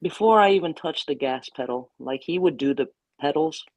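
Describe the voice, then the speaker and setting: A woman chatting as a guest, playful and quick to laugh, telling stories with animation. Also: low-pitched, animated, vocal fry, a little mellow, no echo, low-quality recording. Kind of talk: casual chat.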